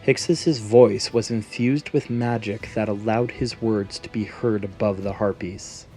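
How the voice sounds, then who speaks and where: A man's voice: on the low side, fairly even intonation, unhurried, mellow. A man narrating a scripted fantasy story in a dramatic storytelling manner. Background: background music.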